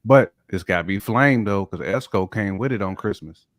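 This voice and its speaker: A man's voice: fairly low pitch, moderate pace. An American man co-hosting a casual hip hop discussion, talking in emphatic slang. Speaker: podcast host.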